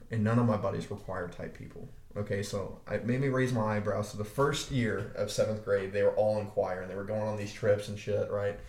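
A young man with a deep voice, low in pitch, talking in a relaxed way. He circles back and repeats himself as the stories unfold. The sound is roomy, with a clear echo. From Arkansas, USA. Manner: laid-back storytelling, frequent restarts and swearing. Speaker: podcast co-host. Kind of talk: casual chat. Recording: echoey room.

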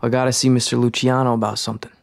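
Man acting a gravelly, slangy street hustler. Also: medium pitch, level tone, very fast, a little hiss or hum, close to an everyday voice. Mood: offhand, evasive. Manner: offhand, slangy. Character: brash young male numbers runner boss, slangy hustler. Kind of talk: period radio drama acting.

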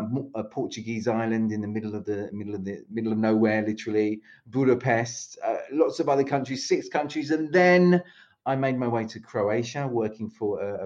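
A middle-aged man with a British accent talks over a video call, his voice sitting a little low. He rambles warmly through stories, doubling back and restarting his phrases. The call audio is dull and lo-fi. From England. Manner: rambling, many restarts and self-corrections. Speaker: podcast guest, speaking coach. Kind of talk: casual interview chat over video call.

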